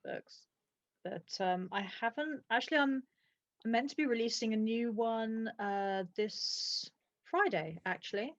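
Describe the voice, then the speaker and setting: A woman's voice: on the high side, unhurried, no room sound. A woman author chatting on a video call, giggly and a little bashful. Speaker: author and player. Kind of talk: casual chat on a video call. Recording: dry, muffled call audio.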